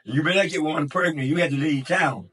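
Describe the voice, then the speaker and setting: A man's voice: a little high, brisk, a bit bright, breathy edge. A man, telling stories to his grandson in a rambling way, repeating words and restarting his sentences. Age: 90s. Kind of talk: casual storytelling.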